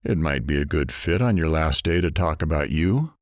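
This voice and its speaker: A man acts out a weary morning radio host in an audio drama. His voice is deep and swings expressively, with dry sarcasm and self-mockery, recorded close and dry. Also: animated, moderate pace, no room sound. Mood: curious. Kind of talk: scripted audio drama broadcast.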